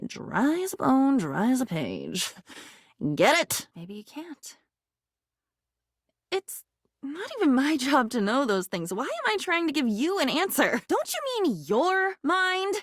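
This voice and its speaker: High swoopy game character, a living diary lady, bitter n dramatic, sarcastic, kinda unhinged, really dry close sound. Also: no room sound, full character voice. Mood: weary, hurt. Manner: dramatic, whimsical, bitingly sarcastic, swinging between confiding and outburst. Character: living diary, wounded, bitter, theatrical, unstable woman. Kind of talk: video game character voice acting.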